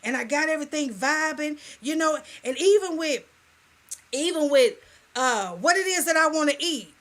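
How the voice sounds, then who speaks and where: A woman hosts a radio talk show with a high, bright voice. She talks in a soft, playful and sassy way, teasing her guest and chatting with listeners. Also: normal speed. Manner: lively, playful, sassy, calls listeners "girl" and "y'all". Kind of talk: casual radio talk chat.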